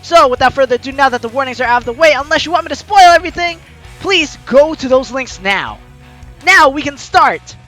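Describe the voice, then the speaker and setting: Animated, bright-voiced game streamer over background music. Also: sits high, brisk. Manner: animated, theatrical, playfully exclaiming. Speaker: gaming video host reading a visual novel aloud. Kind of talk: let's play commentary with dramatized reading of game text.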